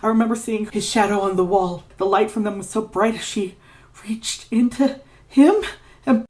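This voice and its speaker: A woman's voice: mid-range voice, a little mellow, pitched above the performer's own voice, lightly acted. A terrified young man played by a woman in a dramatic story, stammering and pleading. The sentences break off and circle back as the horror is recounted. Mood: frantic, terrified. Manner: halting, repetitive, breaking off mid-sentence. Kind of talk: dramatic fan fiction reading.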